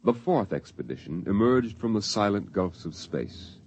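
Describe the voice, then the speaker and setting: A man's voice: on the low side, very animated, moderate pace, a little mellow, slight background noise. A man narrating a scripted 1950s radio drama in a solemn, dramatic manner. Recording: dull vintage radio recording.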